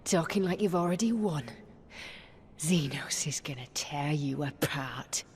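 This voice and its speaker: A woman's voice: low-pitched, lively intonation, a little slow, a little mellow, a little hiss or hum. A woman playing a bitter, defiant young imperial commander who speaks with scorn in a dramatic video game cutscene. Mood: scornful. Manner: sneering, scornful.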